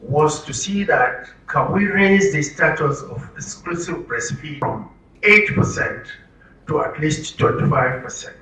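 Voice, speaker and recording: Man, Nigerian accent, public speaker, emphatic, question-and-answer delivery, sharp bright tone, distant echoey mic. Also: a little high, animated, unhurried. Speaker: presenter at a health orientation for media. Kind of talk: interactive public talk. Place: room with an audience. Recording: roomy, distant mic, faint noise.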